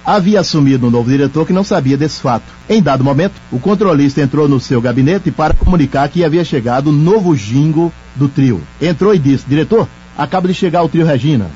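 Man, mellow tone, recollecting narration, radio veteran, slightly dull sound, music behind. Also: on the high side, animated, fast talker, slightly rough. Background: background music.

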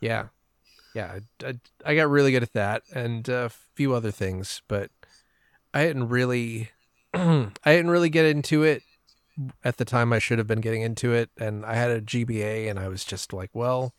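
Male podcast guest, smooth clear voice at a middling pitch, relaxed conversational anecdotes. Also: normal speed, dry recording, clean studio sound. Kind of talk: casual chat, telling personal anecdotes.

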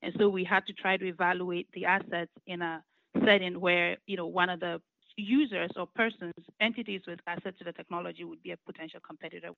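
A woman, a law firm partner giving measured, explanatory interview answers with frequent fillers. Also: on the low side, fairly even intonation, creaky, no echo. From Ghana. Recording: muffled lo-fi audio.

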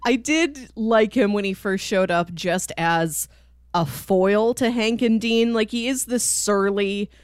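A woman's voice: mid-range voice, slow pace, clear, resonant tone, no echo, clean studio sound. A woman co-hosting a podcast, talking casually and articulately about pop culture in well-formed summaries. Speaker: podcast co-host.

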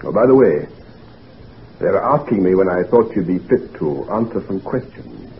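Earnest gentleman physician, tinny old radio. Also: low-pitched, expressive, normal speed. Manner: old-fashioned, chummy, earnest. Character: concerned gentleman physician, a frank, loyal old friend. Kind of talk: 1940s radio drama acting. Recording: noisy, tinny 1940s radio recording.

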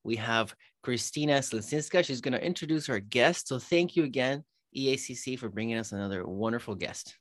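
A man's voice: mid-range voice, a little fast, few pauses, no room sound. Upbeat male podcast host, dry sound. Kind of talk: podcast interview.

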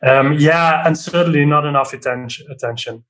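A man from the southern Netherlands with a Dutch accent, talking casually as a podcast guest and software developer. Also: on the high side, normal speed. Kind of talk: casual explanatory interview talk.

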